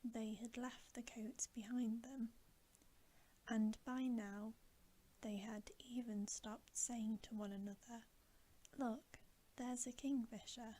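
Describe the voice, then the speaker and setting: A woman reading a bedtime story as ASMR in a soft, breathy whisper with a gentle, hushed delivery. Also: a little high. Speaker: ASMR reader.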